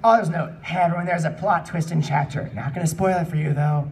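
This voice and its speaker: A man's voice: fairly high pitch, fairly even intonation, quick pace, darkish tone, a little hiss or hum. A man hosting a live comedy panel, reading fan fiction aloud with amused, incredulous commentary. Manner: amused, incredulous at what is read. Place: live convention panel room. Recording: distant mic in a room with audience.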